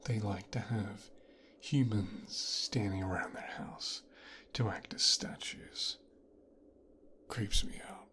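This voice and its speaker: A man playing a whispering, possessive young mafia heir. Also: fairly low pitch. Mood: coolly appraising. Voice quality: hushed, breathy, half-whispered. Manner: slow, deliberate, musing with pauses. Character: mafia boss's young son, possessive, menacing, coldly calculating. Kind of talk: ASMR roleplay monologue.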